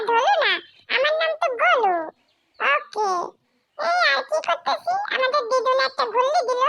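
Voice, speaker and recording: Tiny chattering kittens, squeaking in an extremely high, bright voice. They tease one another and babble in a childlike way. A faint hiss sits under the voice. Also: exaggerated voice. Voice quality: squeaky, chirpy. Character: playful kitten siblings chatting to camera. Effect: high-pitched voice changer. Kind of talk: cute pet voice-over.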